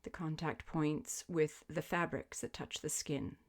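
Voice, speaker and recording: A woman with a low-pitched voice leads a guided relaxation as a yoga teacher. She gives her instructions gently and soothingly, as measured invitations with space left between them. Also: steady tone. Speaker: yoga nidra meditation teacher. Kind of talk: guided sleep meditation, spoken instruction.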